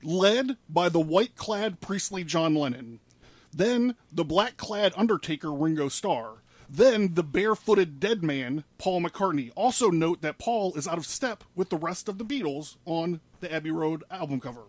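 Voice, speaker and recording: A man's voice: fairly high pitch, lively intonation, unhurried, no echo. A man presenting a case in casual studio talk, in an informal, rambling and sweary way. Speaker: presenter laying out a conspiracy theory.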